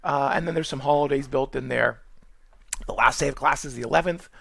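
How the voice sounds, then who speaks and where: A man from Boston with a Boston accent, giving a college course orientation in quick, rambling speech full of fillers and restarts. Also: mid-range voice, vocal fry, a bit bright, no room sound. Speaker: college nutrition instructor. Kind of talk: screen-recorded course orientation.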